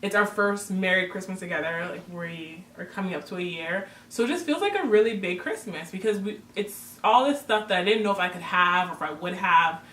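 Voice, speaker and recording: A woman's voice: on the low side, a little fast, few pauses, fairly bright tone. Earnest woman addressing viewers. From born in Jamaica, raised in Toronto, Canada. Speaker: vlogger. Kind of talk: casual couple's chat to camera. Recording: roomy, distant mic with faint noise.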